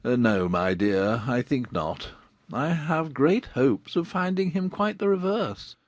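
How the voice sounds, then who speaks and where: An actor playing a wry, teasing older father in a dramatized classic novel, with slow, drawn-out, ironic delivery. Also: medium pitch, animated, no echo. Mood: dryly amused.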